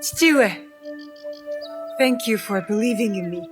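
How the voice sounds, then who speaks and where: An actress playing a young female shinobi and dutiful daughter in a video game, restrained, earnest and soft-spoken. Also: mid-range voice, animated, measured pace, over music, natural voice. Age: young adult. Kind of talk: dramatic video game cutscene acting.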